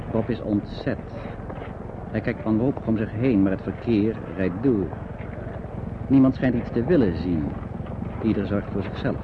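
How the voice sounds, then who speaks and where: An actor playing the sober narrator of a grim wartime radio play, reading scripted narration. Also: male voice, lowish voice, phone-quality sound, natural voice. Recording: thin, noisy old radio recording.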